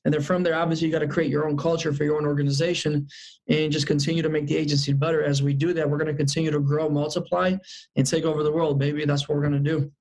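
A man's voice: a little high, little pitch movement, quick pace, darkish tone, poor audio. A man of thirty, a sales manager as guest, talking about his goals in an upbeat, emphatic way and repeating phrases for emphasis. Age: 30s. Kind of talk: casual interview chat.

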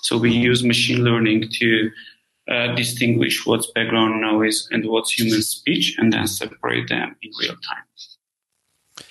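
Creaky Armenian-accented man in a roomy space. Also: medium pitch, fairly bright tone. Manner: halting, repeats words. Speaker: tech company co-founder and CEO, interview guest. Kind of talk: casual interview explanation.

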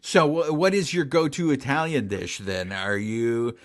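A man's voice: mid-range voice, very animated, unhurried. A man hosting a podcast, asking a guest casual questions in an upbeat, friendly way. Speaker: podcast host.

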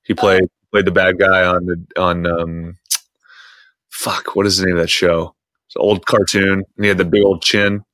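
A man's voice: deep voice, little pitch movement, moderate pace, fairly bright tone, dry recording. A man hosting a casual podcast chat, rambling with frequent restarts and fillers. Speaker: podcast host. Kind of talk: casual chat about internet posts.

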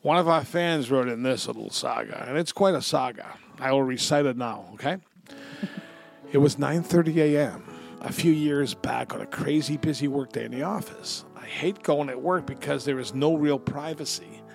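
A man's voice: medium pitch, moderate pace, gravelly, soft background music. A man co-hosting a podcast, chatting casually and crudely with comedic gusto and free swearing. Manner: animated, crude, swearing freely. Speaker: podcast co-host. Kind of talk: bawdy comedic casual chat.

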